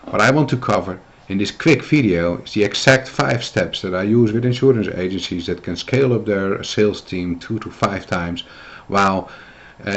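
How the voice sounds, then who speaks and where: Male consultant, Dutch accent, deeper voice, earnest explainer-video delivery. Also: slightly rough. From Netherlands. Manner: earnest, measured, lecturing to the viewer. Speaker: business consultant.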